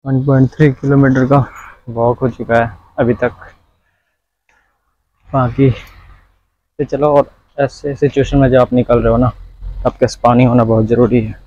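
Flat-voiced man giving walking tips. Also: mid-range voice, a little slow, pauses often, dry recording, dull audio. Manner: chatty, instructive, addresses viewers directly. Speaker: vlogger. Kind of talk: casual advice vlog. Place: outdoors, walking in the sun.

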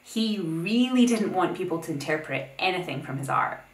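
A young woman with a somewhat low voice narrates a scripted art lesson, breaking in now and then with her own opinions. The sound is roomy, with a noticeable echo off the walls of an indoor space. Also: moderate pace. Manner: opinionated, lively asides between explanations. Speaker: art video host. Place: plain indoor room.

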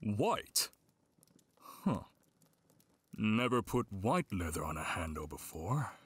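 A man's voice: lowish voice, very animated, slow, fairly bright tone, breathy edge, no room sound, full character voice. A man playing a brusque, good-humoured, folksy town blacksmith in a fantasy audio drama. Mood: brusque but obliging. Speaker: voice actor.

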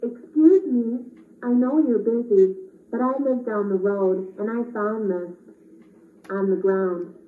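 A woman's voice: mid-range voice, takes it slowly, lightly acted. A woman playing a shy, polite, friendly reindeer in a children's puppet show. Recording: echoey, tinny, distant camera.

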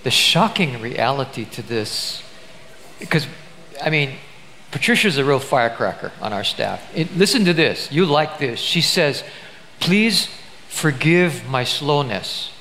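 A man's voice: medium pitch, expressive, unhurried, bright, forceful tone, a little hiss or hum. An older man, a pastor, interviewing a guest on a church stage in an emphatic, conversational preaching manner.

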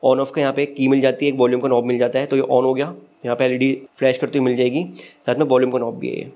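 A man's voice: medium pitch, level tone, fast, dark tone. A man with an Indian accent giving a casual, step-by-step unboxing review as the channel's host. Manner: explanatory, step-by-step.

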